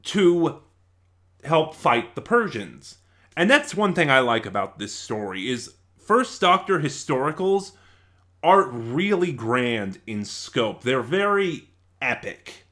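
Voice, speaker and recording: A man's voice: mid-range voice, big pitch swings, slow pace, fairly bright tone. A man reviewing in a casual, unscripted way, rambling and often losing his thread and correcting himself. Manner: rambling, scatterbrained, self-correcting.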